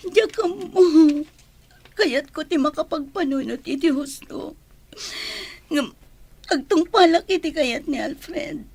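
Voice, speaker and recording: High-voiced tearful young Filipino woman played by actress. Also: gravelly, a little mellow, no room sound, heavily acted. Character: troubled young woman burdened by a guilty secret. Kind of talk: Ilocano radio drama acting.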